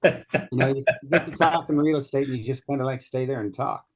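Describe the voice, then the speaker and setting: A man's voice: medium pitch, a little fast. Easygoing hesitant man on a call. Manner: easygoing, hesitant, laughs lightly. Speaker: meetup organizer. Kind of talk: casual group video call chat.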